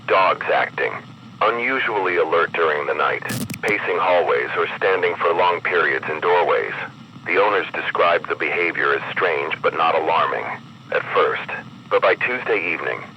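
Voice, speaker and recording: A man reading a scripted horror emergency broadcast as an announcer, in a grave, measured, ominous manner. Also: very deep voice. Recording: muffled broadcast with clear background noise.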